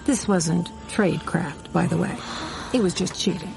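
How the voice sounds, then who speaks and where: An actress playing a sharp-witted American intelligence officer, narrating her Cold War memories in a dry, wry radio drama style. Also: lowish voice, normal speed, vocal fry. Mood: wry, knowing. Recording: music bed behind studio narration.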